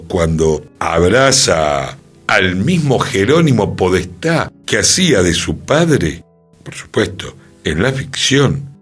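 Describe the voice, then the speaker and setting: A man's voice: on the low side, breathy edge. A man with an Argentine accent co-hosting a radio show and presenting his column in a conversational style. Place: radio studio. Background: faint music.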